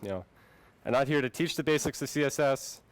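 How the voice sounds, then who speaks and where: A young man born in Finland giving a friendly, relaxed presentation to a meetup audience. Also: medium pitch, a little fast, no echo. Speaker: conference speaker. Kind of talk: tech talk presentation.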